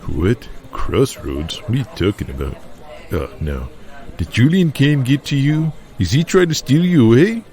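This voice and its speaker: A man playing a chummy, excitable male veteran wrestler who blurts out questions and calls people brother. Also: mid-range voice, normal speed. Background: music underneath.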